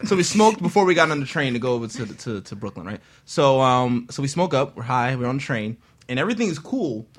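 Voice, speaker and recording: A man on a radio talk show telling a funny story, animated and laughing as he talks. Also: mid-range voice, fast. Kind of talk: casual storytelling chat. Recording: loud background noise.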